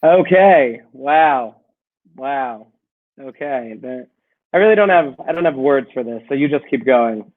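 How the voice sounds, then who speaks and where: A man's voice: medium pitch, animated, a little slow. A man co-hosting a podcast, making dry sarcastic quips in casual chat. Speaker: podcast co-host. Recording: tinny phone-like call audio.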